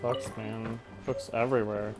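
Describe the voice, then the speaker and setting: A man's voice: fairly low pitch, level tone, measured pace. A man giving casual live commentary as he streams a game, musing wryly to himself. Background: game music.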